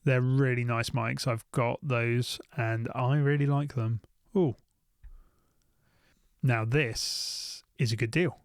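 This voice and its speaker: British man with a creaky voice, thinking aloud. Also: medium pitch, fairly even intonation, slow pace, no room sound, studio quality. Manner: thinking aloud, repeats phrases. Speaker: YouTube gear reviewer. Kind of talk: casual unscripted shopping commentary.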